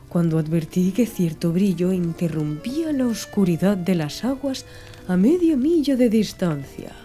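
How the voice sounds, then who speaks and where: A woman narrating a literary audiobook from a script, with measured, deliberate phrasing. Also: mid-range voice, warm tone. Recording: music behind the voice. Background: music.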